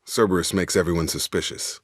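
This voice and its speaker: Sci-fi game squadmate: a steady, direct soldier, young, deep-pitched, recorded dry. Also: male voice, a little fast, dry recording. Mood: measured, matter-of-fact. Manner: direct, clipped military phrasing. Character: steady, principled male soldier in a sci-fi crew. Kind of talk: video game voice acting.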